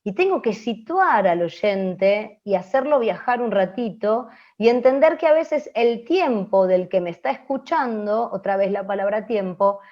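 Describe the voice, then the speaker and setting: A woman with a Rioplatense Argentine accent explaining as a radio teacher in an open class, often checking in with short tag questions. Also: medium pitch. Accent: Rioplatense Argentine Spanish. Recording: dull lo-fi audio.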